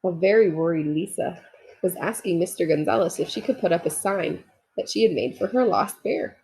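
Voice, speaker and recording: A woman narrates a picture book for young children. Her voice is markedly husky with some fry, and her pitch moves in lively, expressive sweeps as she speaks to the listeners. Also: moderate pace, poor audio. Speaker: storybook reader. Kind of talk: children's read-aloud narration with asides.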